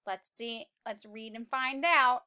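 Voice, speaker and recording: A woman reads a picture book to young children in a high, bright voice. She takes her time like a teacher, swinging expressively through the sentences as she questions and explains in simple words. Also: steady tone, slow pace, clear, resonant tone. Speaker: children's read-aloud teacher.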